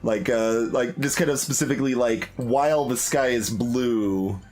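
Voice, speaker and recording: A man's voice: mid-range voice, normal speed, a little mellow. A man doing casual game commentary as a streamer, thinking aloud in a hesitant, analytical way. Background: game music.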